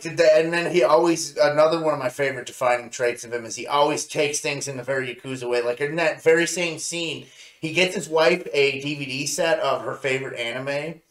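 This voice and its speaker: A man's voice: a little high, fairly bright tone. A man co-hosting a review show, talking casually with blunt opinions, recorded in a roomy, echoey space. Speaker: podcast co-host reviewing anime.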